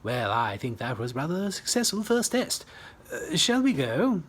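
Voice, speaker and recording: A man's voice: a little high, sing-song, moderate pace, fairly bright tone, husky, exaggerated voice. A man voicing a jaunty, oblivious young British First World War pilot with plummy, chipper period slang in a humorous story reading. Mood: chipper, breezy.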